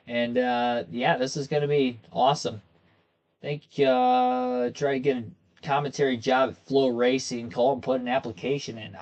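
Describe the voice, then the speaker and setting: A young man with a slightly hoarse voice, chatting casually with viewers as he hosts a racing commentary livestream from a desk in a small room. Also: medium pitch. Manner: chatty, talks straight to his viewers.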